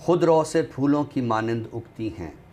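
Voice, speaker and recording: A man reciting poetry on a literary show in a deliberate, expressive way, returning to refrains. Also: mid-range voice. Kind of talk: dramatic Urdu poetry recitation, then book talk. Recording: clearly noisy background ambience.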